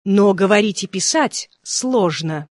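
A speaker reading short phrasebook sentences slowly and clearly for language learners. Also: mid-range voice, lively intonation, no echo, clean studio sound. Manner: slow, clearly spaced repetitions. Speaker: phrasebook reader.

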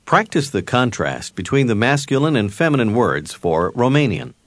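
A man narrating a recorded language lesson, prompting the learner with clear scripted instructions. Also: mid-range voice, big pitch swings, normal speed, no room sound.

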